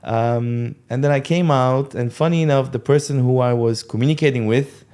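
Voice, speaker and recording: A man in his forties, a guest on an interview podcast, telling a long story in a relaxed, rambling way with many fillers and asides. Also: medium pitch, moderate pace. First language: English. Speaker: podcast guest, company co-founder.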